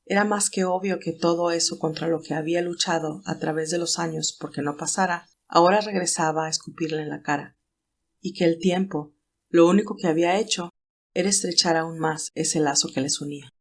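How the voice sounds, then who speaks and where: A woman's voice: fairly low pitch, fairly even intonation, fairly quick. A woman reading scripted, dramatic narration of a romantic story as its narrator.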